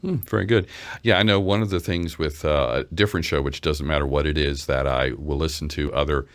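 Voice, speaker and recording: A man hosting a casual interview, asking questions in a halting, rambling way. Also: extremely low pitch, fairly even intonation, a bit bright. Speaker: interview host.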